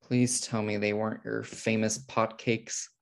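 A man's voice: lowish voice, flat delivery, unhurried, creaky. An American man playing a fussy, controlling twin brother in a sitcom table read. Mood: earnest. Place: indoor room, on a video call. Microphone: video call microphone.

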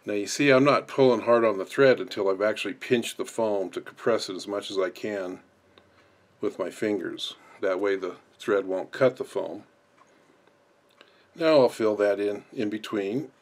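Guy w a low voice doing a how-to, explaining stuff step by step off the cuff. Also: normal speed. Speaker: instructor. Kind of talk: hands-on tutorial narration.

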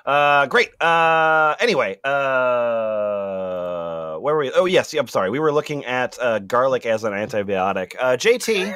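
A man's voice: medium pitch, expressive, a little slow, fairly bright tone, clean tone, dry recording, studio quality. A man hosting a live podcast in casual banter, with wry teasing and some swearing. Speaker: podcast host.

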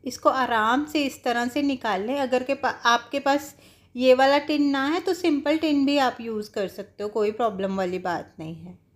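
High-pitched woman teaching a recipe. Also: normal speed. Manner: explanatory, addressing viewers directly. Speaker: home cook giving a recipe tutorial. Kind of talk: casual step-by-step cooking instruction.

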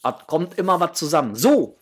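Husky-voiced man chatting casually. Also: fairly high pitch, sing-song, moderate pace, fairly bright tone. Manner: chatty, informal, addresses viewers directly. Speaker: host of a toy collecting channel. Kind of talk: casual chat, unboxing to camera. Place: small room at home, indoors.

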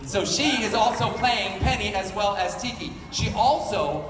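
A man's voice: high voice, normal speed, over music. A middle-aged man hosting a live fan convention panel in a playful, joking, showman-like way. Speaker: panel host. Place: large indoor convention hall, recorded from the audience. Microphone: handheld PA microphone, picked up by a distant camera. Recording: echoey convention hall from far off, loud crowd.

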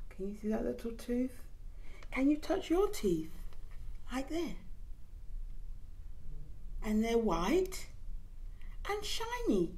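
A middle-aged woman reading a picture book aloud to young children, expressive and gently teacherly, putting questions to them. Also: a little high, slow pace, pauses often. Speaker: children's story time reader. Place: indoors in front of a decorated backdrop.

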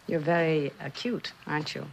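Reserved, low-voiced mother. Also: little pitch movement, moderate pace, vocal fry, a little hiss or hum, low-quality recording. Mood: probing. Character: reserved, wistful, probing well-to-do mother of missing daughter. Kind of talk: 1960s television suspense drama acting.

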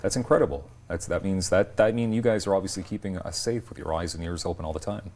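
A middle-aged man hosts a studio talk show. His voice is low, and he puts his questions conversationally at a quick pace. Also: darkish tone. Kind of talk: conversational interviewing. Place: TV studio, indoors.

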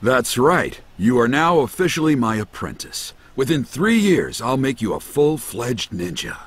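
A man voicing a gruff older ninja mentor in an anime game, husky, frank and authoritative. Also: mid-range voice, sing-song, measured pace. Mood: serious, frank. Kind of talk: English anime game voice acting.

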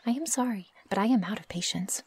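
A woman playing a naive, impatient young werewolf in an ASMR roleplay, speaking softly and breathily in stilted, formal phrasing. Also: medium pitch, fast talker. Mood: impatient, grumbling. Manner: stilted formal phrasing without contractions, often breathy or near-whispered. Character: feral young female werewolf, naive, curious, impatient, possessive. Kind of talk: ASMR roleplay, intimate one-sided dialogue.

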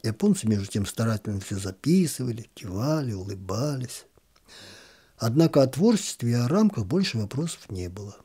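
A man reading a literary story aloud in an expressive, gently ironic storytelling manner. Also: medium pitch, brisk, breathy edge.

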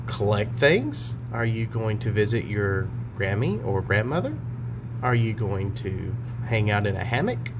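A man in his forties talking and reading a storybook to young children in simple, child-directed phrasing, like a teacher. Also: fairly low pitch. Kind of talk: read-aloud storybook and chat for children. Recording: clear background hum and noise.

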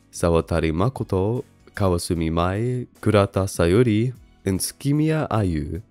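Male essay narrator, low and soft-toned, scripted and measured delivery, faint music behind. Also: no echo. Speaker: video essay narrator and reviewer. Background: background music.

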